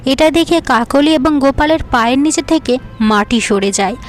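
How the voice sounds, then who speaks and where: A woman's voice: sits high, expressive, moderate pace. A woman narrating a scripted fairy tale for a cartoon.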